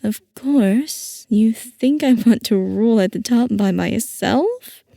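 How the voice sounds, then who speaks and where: Girl acting out a doting villainess scientist boss, slow n creaky, soft coaxing asmr roleplay. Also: fairly high pitch, lively intonation, dry recording, studio quality, heavily acted. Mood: playful, possessive. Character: playful scheming female scientist boss, possessive, doting on her assistant.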